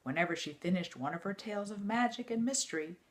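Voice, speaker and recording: A woman reading an autobiography aloud like a teacher to students, in a measured, expressive storytelling way. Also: mid-range voice.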